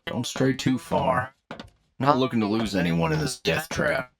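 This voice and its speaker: A man playing a gruff, wary crew leader in an audio drama, terse and folksy in his talk. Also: mid-range voice, animated, unhurried, a crowd in the background. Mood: urgent, commanding. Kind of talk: anime audio roleplay acting.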